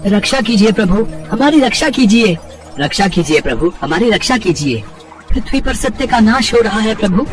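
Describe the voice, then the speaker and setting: A desperate god pleads in shrill, urgent declamation. The very high voice swoops widely and sounds a little away from the mic, in a mythological story. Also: male voice, big pitch swings, moderate pace, distant mic, over music. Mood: frantic pleading. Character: desperate god pleading against a demon king's terror.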